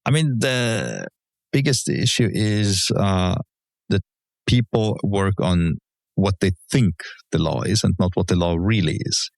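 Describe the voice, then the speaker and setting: Deep gravelly man, halting. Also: animated, no room sound. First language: German. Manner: hesitant, many fillers and restarts, measured explanations. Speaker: guest, legal professional. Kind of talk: relaxed conversational interview.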